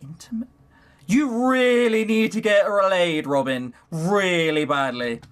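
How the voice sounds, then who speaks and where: A man's voice: sits high, slow pace, clear, resonant tone. A man reading a visual novel aloud and throwing in crude, joking asides. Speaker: visual novel let's-player.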